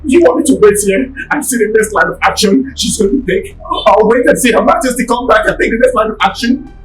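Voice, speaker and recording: A man's voice: on the high side, a little fast, a bit bright, slight background noise, lightly acted, poor audio. A man playing a palace driver who defends himself indignantly. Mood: defensive.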